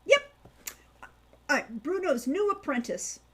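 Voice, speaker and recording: A woman's voice: high-pitched, sing-song, slow pace, fairly bright tone, voice pushed up, full character voice. A woman voicing a plucky, sly young girl in an audiobook, breezy and cheeky. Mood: proud. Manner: breezy, carefully casual, cheeky.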